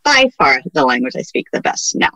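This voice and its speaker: A woman in her forties whose first language is Portuguese, a lawyer chatting lively on a video call. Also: a bass voice, brisk, no echo, low-quality recording. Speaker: employment lawyer guest. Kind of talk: casual video-call interview. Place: home room with window. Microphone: video call webcam.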